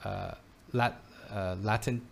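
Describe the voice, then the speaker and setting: A man's voice: lowish voice, fairly even intonation, slow, creaky, slight background noise. A man raised in Belgium, chatting casually and often restarting his words. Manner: hesitant, frequent restarts and repeated words. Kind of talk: casual chat. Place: his home, lives in Taiwan.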